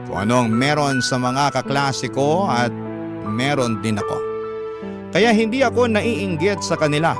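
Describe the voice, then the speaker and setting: A man's voice: mid-range voice, expressive, measured pace. A man narrating a listener's letter as a scripted story on a Filipino radio drama program. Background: instrumental background music.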